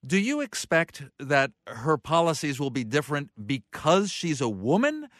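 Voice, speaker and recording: Radio news guy, kinda high voice, dead dry studio sound, soft music under him. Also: wildly swooping pitch, no room sound. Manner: measured, crisp broadcast delivery.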